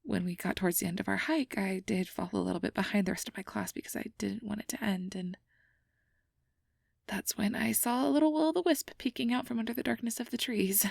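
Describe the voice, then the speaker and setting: A woman playing a nervous, earnest young fantasy researcher in an ASMR roleplay, rambling and self-doubting, with soft breathy delivery. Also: mid-range voice, fairly quick, dry recording, studio quality. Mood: wistful, soft. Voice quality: breathy, often dropping to a soft whisper. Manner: flustered, stammering, over-explaining, self-doubting.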